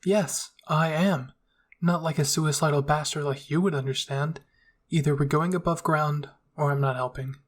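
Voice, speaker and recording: Male reader as curt, scornful young female soldier, husky, story roleplay. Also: fairly high pitch, steady tone, moderate pace, natural voice.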